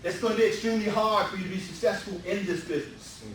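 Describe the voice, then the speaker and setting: A man speaks as a motivational seminar presenter, in a high-pitched voice. He hammers his points with repetition and rhetorical questions. The recording is echoey and distant, with some faint background noise. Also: fast talker, fairly bright tone. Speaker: real estate seminar presenter. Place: indoor meetup presentation room.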